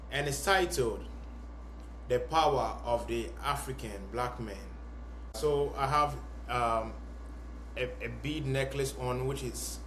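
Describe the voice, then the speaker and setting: A man's voice: medium pitch, a little hiss or hum. Earnest young man in an echoey room. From Ghana and Sierra Leone. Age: young adult. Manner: earnest and emphatic, repeating phrases for effect. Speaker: vlogger. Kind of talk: heartfelt monologue to camera.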